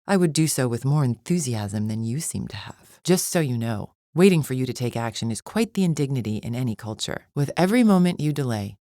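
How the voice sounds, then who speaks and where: A woman's voice: very deep voice, darkish tone, dry recording, clean studio sound. A woman playing a proud, impatient alien warrior champion in a video game, speaking with formal, imperious scorn. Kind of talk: video game character acting.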